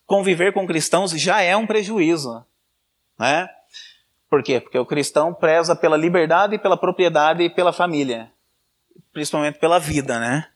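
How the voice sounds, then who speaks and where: A man giving a sermon-style teaching, lecturing with frequent tag questions and restarts. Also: a little high. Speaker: church speaker.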